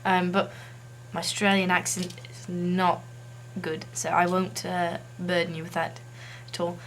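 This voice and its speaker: A woman chatting casually to camera as a video blogger, halting as she tries out a put-on accent. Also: fairly low pitch, level tone, a bit bright, slight background noise.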